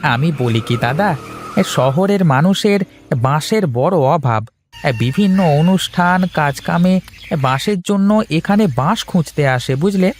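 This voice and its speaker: A man's voice: fairly high pitch, lively intonation, a little slow, a little hiss or hum. A deferential young fellow in a cartoon tale , voiced by a man, coaxing and explaining respectfully. He keeps checking that he is understood as he makes his case. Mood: persuading. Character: deferential, persuasive male friend in town with business ideas. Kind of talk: cartoon story dubbing.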